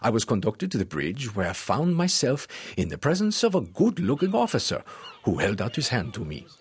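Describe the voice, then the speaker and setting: Expressive, husky zealous professor. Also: male voice, medium pitch, moderate pace, full character voice. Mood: measured narration. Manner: formal, theatrical, expressive. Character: male French professor narrating his sea adventure, eager, zealous. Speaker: narrator and lead actor. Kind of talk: dramatized audiobook narration and dialogue.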